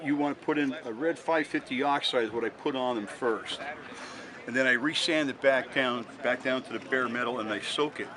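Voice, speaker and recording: Matter-of-fact man amid a loud crowd. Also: mid-range voice. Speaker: interviewee, hobbyist radio restorer. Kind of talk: casual technical explanation in an interview. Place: outdoors at a busy swap meet.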